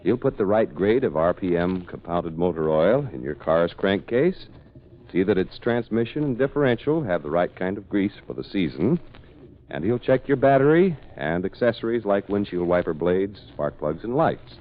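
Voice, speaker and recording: A man's voice: deep voice, lively intonation, normal speed. A man with an American accent announcing from a script in 1940s radio style. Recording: muffled old radio broadcast recording.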